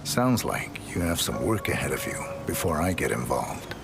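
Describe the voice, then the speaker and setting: Very deep, gravelly stoic assassin. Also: male voice, moderate pace, heavily acted. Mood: cold, terse. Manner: clipped, deadpan, understated. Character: terse, stoic male professional assassin, cold and controlled. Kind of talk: game cutscene dialogue. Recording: game cinematic with loud music bed. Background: loud music.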